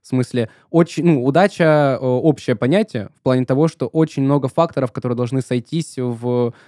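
A man of twenty-one co-hosting a podcast, speaking in a reflective, hesitant way with many pauses to search for words. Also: medium pitch, warm tone, no echo, clean studio sound. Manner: reflective, hesitant, thinks aloud. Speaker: podcast co-host. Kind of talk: casual podcast chat.

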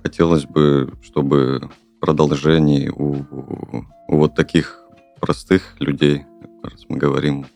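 A man's voice: extremely low pitch, little pitch movement, takes it slowly, creaky, darkish tone, faint music behind. A young man from Kazakhstan, a podcast guest talking casually and hesitantly, with many fillers and restarts. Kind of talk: casual interview talk.

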